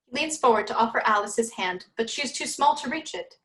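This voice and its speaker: A woman's voice: on the high side, expressive, fast talker, pushed and bright, a little hiss or hum. A woman giving scripted story narration for a dramatic reading.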